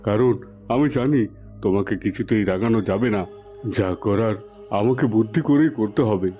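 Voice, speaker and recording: Dark-toned, wary king played by a man. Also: lowish voice, normal speed, soft background music, natural voice. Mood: guarded, accusing. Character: sorrowful, wary king hiding his suspicions. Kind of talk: dramatized cartoon story dialogue.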